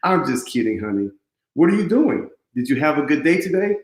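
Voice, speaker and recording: A man's voice: on the high side, sing-song, fairly quick. Man reading as doting mom, roomy echo. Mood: affectionate teasing. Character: doting, teasing mother of a young boy. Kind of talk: read-aloud children's storybook.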